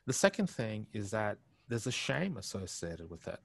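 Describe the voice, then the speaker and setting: A man's voice: on the low side, big pitch swings, normal speed, gravelly, no echo. A man in his fifties from India with an Australian accent, telling his life story candidly over a headset on a video call from his home study, reflective and full of restarts. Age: 50s. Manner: reflective, frequent restarts and filler phrases. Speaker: interview guest.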